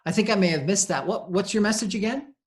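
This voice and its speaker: A man hosts a conversational radio interview in a somewhat high-pitched voice. His delivery is halting and hesitant as he introduces and questions. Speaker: radio show host.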